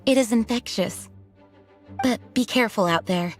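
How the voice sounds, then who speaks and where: A woman voicing a dutiful, kindly knight commander in a game, speaking in a formal, measured, articulate way. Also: on the high side, close to an everyday voice. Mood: kindly, cautioning. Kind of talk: game dub acting. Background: music.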